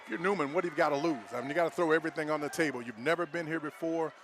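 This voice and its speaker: A man's voice: a little high, very fast. An American man giving live football analysis as a color commentator, conversational and emphatic.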